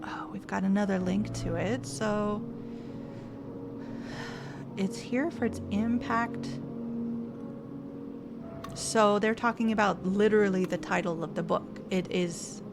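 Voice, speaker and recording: A woman's voice: medium pitch, steady tone, slow pace, long pauses. A woman streamer casually thinking aloud while playing a game and reading text off the screen. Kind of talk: casual let's-play commentary. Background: game music.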